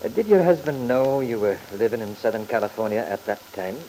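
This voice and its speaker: An actor in a classic radio soap opera playing a formal, measured lawyer questioning a witness, on a noisy old recording. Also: male voice, medium pitch, expressive, warm tone, close to an everyday voice. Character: measured, probing male courtroom lawyer.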